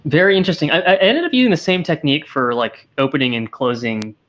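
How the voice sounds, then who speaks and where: A man with a slightly congested, sniffly voice talks shop over a video call, his headset audio a bit dull and lo-fi. He thinks out loud, trailing off and restarting sentences as he works through ideas. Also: fairly high pitch, quick pace. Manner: thoughtful, hesitant, many restarts. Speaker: co-host of a game dev stream. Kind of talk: casual technical chat.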